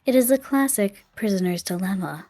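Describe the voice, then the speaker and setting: A composed, analytical student girl acted by a woman speaks in a dark, mellow voice. Her delivery is detached and explanatory, a fan-dubbed game character over quiet music. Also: medium pitch, animated, fairly quick, lightly acted. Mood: cool, explanatory. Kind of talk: scripted explanation in amateur game fan dub.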